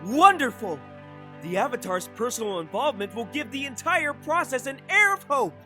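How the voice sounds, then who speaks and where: A woman playing a pompous, grandly enthusiastic ruler in an animated comic dub. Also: sits high, moderate pace, soft background music, full character voice. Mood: delighted.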